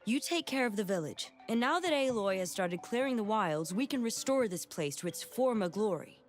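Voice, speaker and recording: A no-nonsense village authority, acted by a woman, firm and scolding. Her mid-range voice moves expressively over faint music, in animated game style. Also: mid-range voice, normal speed, close to an everyday voice. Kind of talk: animated video game cutscene acting.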